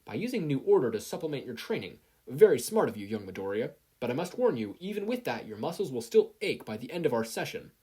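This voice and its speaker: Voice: mid-range voice, sing-song, fast talker, few pauses, mellow, breathy edge. A narrator voicing a hearty grown man, a hero mentor who speaks in a formal, encouraging and emphatic way. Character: hearty, booming adult male hero mentor.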